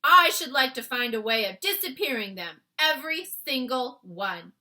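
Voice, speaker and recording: A woman's voice: high voice, lively intonation, normal speed, sharp tone, pitched above the performer's own voice, heavily acted. A woman voicing a kindly, innocent male giant in a children's storybook, earnest and dignified, with mangled folksy grammar. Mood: tender, earnest. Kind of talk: acted children's storybook read-aloud.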